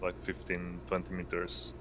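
A man narrating as game master in a tabletop game, halting and pausing mid-sentence. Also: low voice. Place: indoors, online voice call. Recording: noisy home mic, steady hum.

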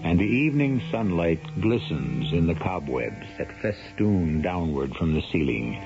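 A man narrates an old radio play as its host. His voice is low and gravelly, with theatrical delivery, and music plays behind him on a dull recording. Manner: theatrical, florid storytelling. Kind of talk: 1970s radio scripted narration.

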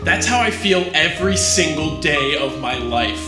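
A high-pitched male voice in a roomy space: an actor playing a washed-up film star in a mockumentary. He is pompous and self-important, with an explosive temper, and music sits behind him. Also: lively intonation, a little slow, far from the mic. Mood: bitter. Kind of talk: mockumentary comedy acting.

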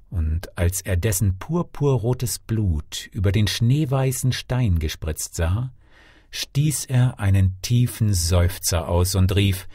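A man narrates a fairy tale in an expressive audiobook style. His voice is fairly low, dark and mellow, recorded very dry. He articulates carefully, with a theatrical touch. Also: breathy edge, dry recording.

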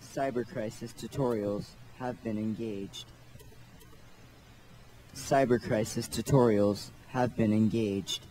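A man voicing a cyborg system announcer in a formal, flat, scripted way for a demo. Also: on the low side, slow, a little hiss or hum, rough recording. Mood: robotic, formal.

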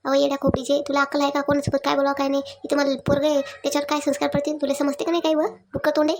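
A woman's voice: high voice, monotone, rapid-fire, slight background noise. A woman voicing a hot-tempered, quarrelsome married village woman in a comedy cartoon, scolding and threatening with a rural Maharashtrian accent. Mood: angry scolding. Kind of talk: Marathi comedy cartoon dialogue.

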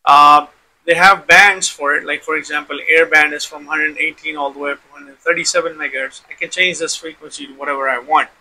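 A man's voice: on the high side, fairly even intonation, fairly quick, sharp tone, rough recording. A man hosting an informal tech demonstration, explaining step by step and often repeating himself. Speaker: tutorial host.

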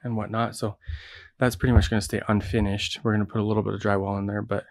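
A man's voice: fairly low pitch, level tone, fast, creaky. An American man giving a casual, matter-of-fact walkthrough of a building job, explaining as he goes. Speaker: builder presenting a project. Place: indoors, large unfinished basement.

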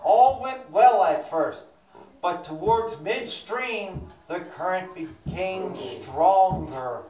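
A woman's voice: lowish voice, expressive, slow, a crowd in the background. A woman narrating a children's storybook for a live puppet show. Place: indoor church or hall room with puppet stage. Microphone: camera at a distance. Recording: roomy, distant mic, clear background noise.

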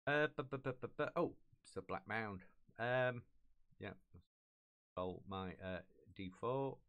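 Clear-voiced middle-aged man running a game. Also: mid-range voice, expressive, a bit bright, highs cut off. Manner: halting, hums filler syllables while thinking. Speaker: game master. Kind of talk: improvised tabletop game narration and rules talk. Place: small indoor room, online video call.

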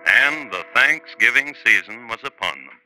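Sharp, dry male radio crime narrator. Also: medium pitch, animated, soft background music, poor audio. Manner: dry, deliberate, clipped phrases. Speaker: host and narrator.

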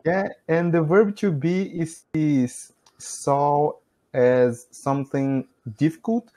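A Brazilian man in his thirties speaks English with a Brazilian Portuguese accent over a video call. His voice is mellow and relaxed. He fills his talk with "you know" and laughs often. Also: fairly high pitch, slow pace. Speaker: English learner. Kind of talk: casual conversation practice. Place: small room at home, indoors.

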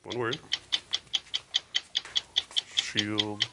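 A man's voice: lowish voice, flat delivery, very slow. A man in his thirties playing a TV studio guessing game, answering in terse words and short phrases. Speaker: game contestant. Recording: very noisy TV studio floor.

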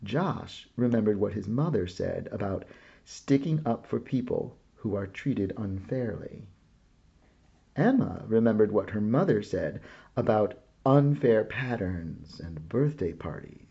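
A man reading a children's picture book aloud in a slow, expressive storytelling manner. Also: on the low side, gravelly, breathy edge, dull audio.

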